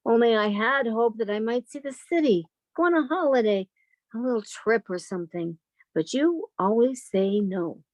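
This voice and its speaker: A woman's voice: fairly high pitch, lively intonation, normal speed, no room sound, lightly acted, low-quality recording. A woman playing a sheltered, timid, wistful woman of thirty-five in an old-time radio drama, plaintive and questioning.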